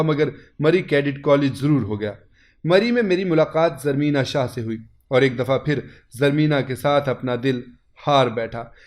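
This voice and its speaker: Man narrating a dramatic story, medium pitch. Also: darkish tone. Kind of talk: dramatic first-person scripted story narration.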